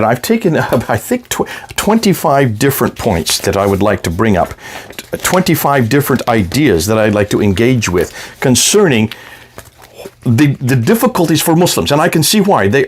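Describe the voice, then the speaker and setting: A man with a mid-range voice delivering a heated rebuttal to camera. He talks emphatically and combatively, often restarting his sentences as he presses each point. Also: mid-range voice. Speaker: apologetics video host. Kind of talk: argumentative commentary to camera.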